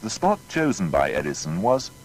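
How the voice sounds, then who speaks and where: A man's voice: huge pitch swings, normal speed. A man narrating a scripted documentary biography in a formal, storytelling manner. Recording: faint noise with low rumble.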